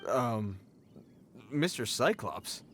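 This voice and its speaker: A male soldier on patrol in a video game, mid-pitched and formal. He is cautious and dutiful yet slightly awkward, with faint ambient noise behind him. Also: very animated, drawn-out words, fairly bright tone, full character voice. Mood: flustered. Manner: formal, hesitant.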